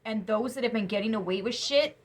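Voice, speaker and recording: A young woman giving a casual tarot reading to camera, chatty and emphatic, sniffly after crying, her voice turning soft when she muses. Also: fairly high pitch, brisk. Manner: chatty, emphatic, drops to soft musing asides. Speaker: tarot reader.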